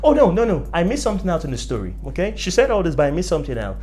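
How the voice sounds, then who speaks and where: Animated young Nigerian storyteller, lively pitch. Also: very fast, a little hiss or hum. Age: young adult. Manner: emphatic, repeats phrases for effect. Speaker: vlogger telling personal stories.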